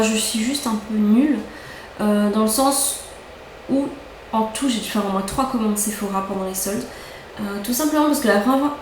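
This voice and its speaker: A woman's voice: fairly high pitch, background noise. A young woman chatting casually and enthusiastically about her beauty haul, often repeating words for emphasis. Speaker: beauty vlogger. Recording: echoey room, faint ambience.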